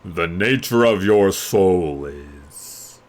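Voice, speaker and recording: A man acts out a solemn enchanted mirror from a fantasy storybook. It pronounces judgement ominously, stretching each word out very slowly. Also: low voice, lively intonation, full character voice. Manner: slow, drawn-out, ominous pronouncements.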